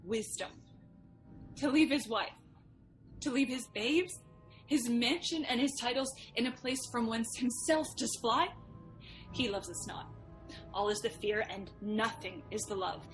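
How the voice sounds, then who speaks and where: A woman's voice: a little high, a little slow, pauses often, faint music behind, close to an everyday voice, rough recording. A woman playing an anguished noble mother, bitter and frightened, in theatrical Shakespearean radio drama. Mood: bitter, indignant. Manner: theatrical, emotional, declamatory.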